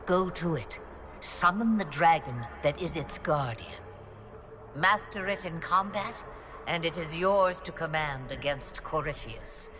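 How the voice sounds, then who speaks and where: A woman voicing an ancient, commanding witch mother who speaks in slow, deliberate, ominous pronouncements. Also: deep voice, expressive, noisy background.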